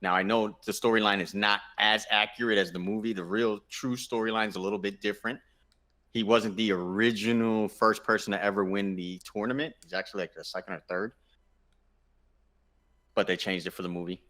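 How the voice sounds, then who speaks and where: A man in casual discussion as a panelist, effusive and often halting to rephrase himself. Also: lowish voice, fairly even intonation, sharp tone. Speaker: gaming show panelist. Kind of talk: casual chat, movie discussion.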